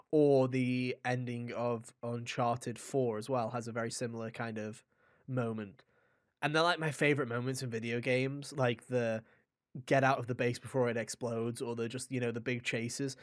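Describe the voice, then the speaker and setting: Guy on a gaming podcast just chatting, super into it, jokey and swears a bit. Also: medium pitch. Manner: enthusiastic, joking, profane. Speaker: podcast host and game reviewer. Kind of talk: casual gaming chat.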